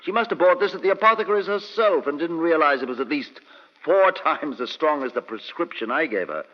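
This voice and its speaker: An actor playing a blustering, evasive villainous doctor, hesitant and indignant in an old radio play. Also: male voice, fairly high pitch, expressive, brisk. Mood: fussy explaining. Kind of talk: old-time radio drama acting. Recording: dull old radio recording, long reverb.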